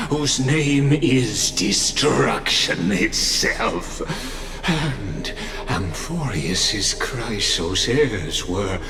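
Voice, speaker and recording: Gloating, slow-talking villain. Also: male voice, fairly low pitch, heavily acted. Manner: slow, theatrical, mocking, with manic laughter. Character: grandiose male villain, gloating scientist-god. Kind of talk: video game cutscene voice acting. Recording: echoey with loud music behind.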